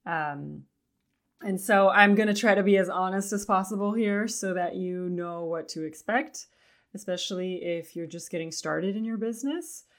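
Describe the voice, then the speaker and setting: A woman with a low-pitched voice, a touch raspy, hosts her own podcast alone. She talks in a loose, chatty way and laughs lightly between phrases. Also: normal speed. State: slightly raspy voice. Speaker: podcast host. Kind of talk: casual solo podcast talk.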